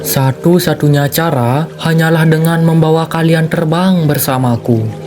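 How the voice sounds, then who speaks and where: A man voicing a deceitful stork who poses as a saintly teacher, speaking in a solemn, measured, preacher-like manner. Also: fairly high pitch, over music, natural voice. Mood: feigned concern.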